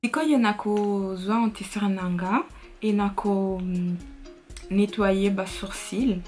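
A woman's voice: medium pitch, takes it slowly, a little mellow, soft background music. A young woman with an Italian accent, chatting casually through a makeup tutorial in her bedroom, switching between languages with frequent fillers. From Italy. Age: young adult. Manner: chatty, mixes French and Lingala, frequent fillers. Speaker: beauty vlogger. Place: bedroom, indoors.

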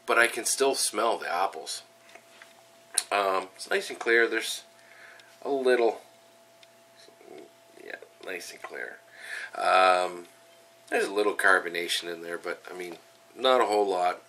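A middle-aged man talks through his home brewing in an upbeat, chatty way, full of ums and uhs, his nose stuffed up from a head cold. His lowish voice sounds bright and a bit tense. He is picked up from a few feet away on a camera mic, with a faint hiss behind him. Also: unhurried, pauses often, recorded from a distance. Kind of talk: casual how-to and tasting vlog. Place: home kitchen, then small room at home.

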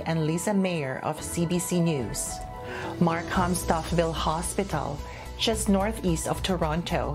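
A woman news anchor reading and interviewing in a formal broadcast style. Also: fairly low pitch.

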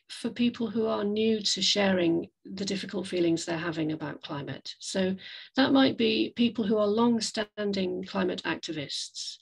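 Woman, heavy vocal fry, slightly husky, reflective webinar presenter, hesitant measured delivery. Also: fairly low pitch, rough recording. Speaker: guest speaker, climate café facilitator. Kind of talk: slide presentation talk in an online webinar. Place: indoors, on a video call. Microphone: video call microphone.

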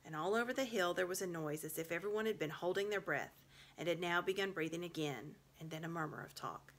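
A woman's voice: lowish voice, quick pace. A woman in her thirties narrating a storybook expressively, recorded in a room at home. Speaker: storybook reader. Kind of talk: expressive read-aloud narration.

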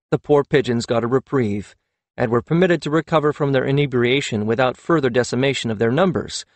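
A man's voice: mid-range voice, dry recording. A man reading a fantasy novel aloud as an audiobook narrator.

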